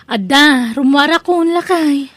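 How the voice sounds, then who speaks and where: A woman's voice: sits high, measured pace, a bit bright. A woman playing a dutiful, worried working daughter of elderly parents in an Ilocano comedy audio drama. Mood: explaining.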